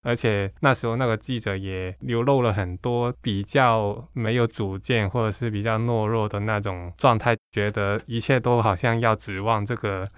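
A man's voice: lowish voice, steady tone, moderate pace, hardly any pauses, clear, resonant tone, no echo. A man from Hong Kong with a Hong Kong accent in his Mandarin, a native Cantonese speaker talking reflectively as an interview guest. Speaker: guest, comic artist. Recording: music playing behind the voice. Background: background music.

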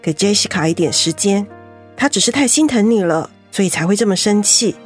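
A woman's voice: medium pitch, animated, a little fast, soft background music, natural voice. An actress playing a sensible, motherly senior colleague in a Mandarin radio drama, scolding yet caring, with a Taiwanese accent. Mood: tender.

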